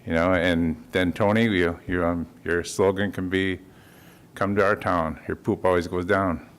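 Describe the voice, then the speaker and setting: A man's voice: low-pitched, fairly even intonation, moderate pace, a little hiss or hum. A man speaking before a city commission in a folksy, joking way, urging a yes vote. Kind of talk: public comment at a council meeting. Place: council chamber.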